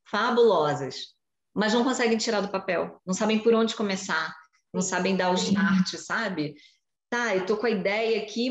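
Woman, forties, journalist guest, fairly low voice, echoey room, webcam audio. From Brazil. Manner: animated, explanatory, gestures while talking. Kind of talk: casual video-call interview. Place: home room with shelves. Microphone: video call webcam. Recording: echoey room on video call.

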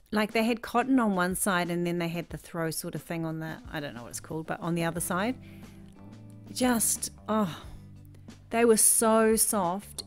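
A woman in her thirties, a chatty vlogger talking over her shopping footage from her bedroom, gushing and rambling. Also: on the low side. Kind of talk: casual voice-over chat. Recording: music faintly behind.

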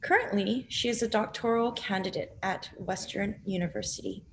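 A woman's voice: mid-range voice, moderate pace, slight background noise, rough recording. A young woman hosting a video interview, reading a welcoming introduction and then asking questions. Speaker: host. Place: home room indoors. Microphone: video call.

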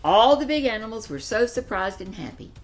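An older woman in her seventies reading a children's picture book aloud in an expressive storytelling style. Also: a little high, brisk. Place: furnished living room, seated in an armchair.

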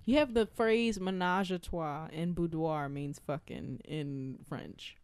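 A woman's voice: deep voice, takes it slowly, darkish tone, smooth. A woman chatting casually on a podcast panel, slangy and teasing, on a close mic. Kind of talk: casual group chat. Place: small room at home, indoors.

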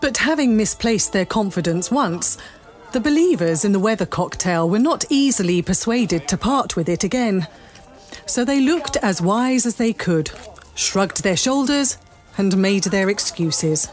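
A woman's voice: medium pitch, animated, measured pace. Woman narrator telling a story over music. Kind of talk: dramatic scripted storytelling.

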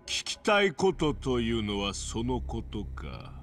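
An actor playing a calm, menacing crime boss who talks politely and condescendingly. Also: male voice, medium pitch, slow pace, soft background music, exaggerated voice. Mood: cold, dismissive. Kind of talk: video game cutscene acting.

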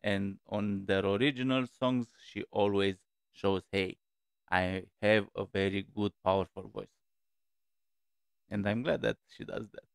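A man's voice: lowish voice, steady tone, measured pace, gravelly, clean tone, no room sound. A man in his forties hosting a music reaction video, talking casually and hesitantly with many fillers, from a small room on a headset mic.

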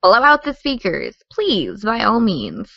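A creaky-voiced teenage girl acted by a woman leads an investigation in an audio drama. She talks briskly and with dry sarcasm. The sound is close, dry and slightly dulled. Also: mid-range voice, wildly swooping pitch, fairly bright tone, no echo, lo-fi sound, heavily acted. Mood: sardonic. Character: determined, sardonic teenage girl podcaster investigating a case. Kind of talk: naturalistic audio drama dialogue.

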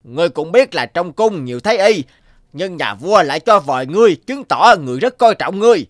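A haughty, threatening royal messenger. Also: male voice, high voice, very animated, a little fast, no echo, studio quality. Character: stern, bullying royal messenger, officious man. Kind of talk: animated moral tale dubbing. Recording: music behind the dialogue.

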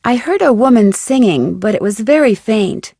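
A woman playing a calm, sensible young American detective in a video game, explaining things in a clear-headed way. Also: fairly high pitch, normal speed, no room sound, studio quality. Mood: matter-of-fact. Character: composed, sensible young female amateur detective. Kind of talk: scripted video game voice acting.

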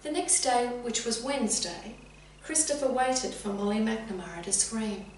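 Very echoey woman reading to children. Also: on the high side. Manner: clear, deliberate, addresses young listeners directly. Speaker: teacher reading a picture book. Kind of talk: expressive children's story reading. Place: indoors, sitting room with bookcase. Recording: very echoey room, distant mic, faint hum.

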